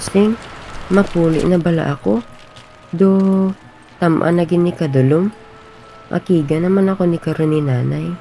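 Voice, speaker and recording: A woman's voice: lowish voice, normal speed, vocal fry, pauses often, mellow, faint music behind. A young woman playing an earnest, lovestruck schoolboy in an amateur radio drama. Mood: concerned. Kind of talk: amateur radio drama acting in Filipino regional language.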